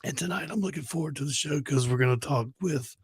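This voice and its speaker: A man co-hosting a casual overlanding talk show, hoarse and husky because he has lost his voice, speaking in a laid-back way with laughs and swearing. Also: a little high, quick pace, no echo, clean studio sound. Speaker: co-host. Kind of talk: casual podcast chat.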